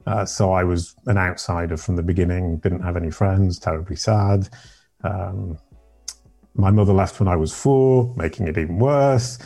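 A middle-aged man from Yorkshire with a deep voice, telling his life story as a podcast guest in a rambling, self-correcting way. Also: normal speed, gravelly, mellow, soft background music. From Yorkshire, England. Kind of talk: casual interview storytelling.

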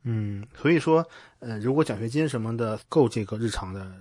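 Man, interviewer, mid pitch, informal wordy questions, very dry recording. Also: expressive, brisk, darkish tone, no room sound. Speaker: podcast host, interviewer. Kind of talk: casual conversational interviewing.

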